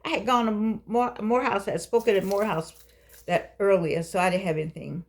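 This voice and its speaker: A woman's voice: mid-range voice, steady tone, fairly quick. An older woman hosting her own show, talking in a playful, preachy, coaxing way and drawing out phrases for effect. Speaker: host. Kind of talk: casual talk show monologue. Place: home studio with keyboard.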